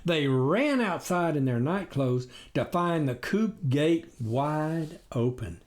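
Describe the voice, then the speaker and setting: Animated male storyteller with swinging pitch. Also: takes it slowly. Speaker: author reading own story. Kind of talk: dramatic, folksy scripted storytelling.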